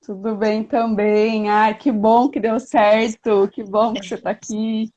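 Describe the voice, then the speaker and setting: A woman's voice: mid-range voice, level tone, a little slow, a little mellow, muffled recording. A Brazilian woman hosting a live video-call interview as a doula, chatty with frequent tag questions. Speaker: doula and host.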